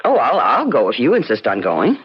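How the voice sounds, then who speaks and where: A woman's voice: rumbling low, huge pitch swings, fairly quick, breathy edge, full character voice. A woman playing a concerned, practical and skeptical wife in a 1970s radio drama, questioning and earnestly reproving. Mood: disapproving. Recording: dull, noisy old radio drama recording.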